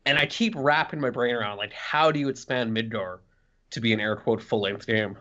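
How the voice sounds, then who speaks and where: A man's voice: medium pitch, brisk, bright, forceful tone, muffled recording. A man co-hosting a podcast, chatting casually about video games. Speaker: podcast co-host.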